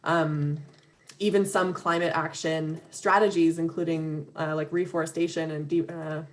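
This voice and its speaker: A woman's voice: low-pitched, flat delivery, normal speed. Earnest woman speaking on a video call. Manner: earnest, many fillers and restarts, laughs lightly between points. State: slightly losing her voice. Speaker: webinar speaker for an advocacy organization. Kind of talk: conversational talk.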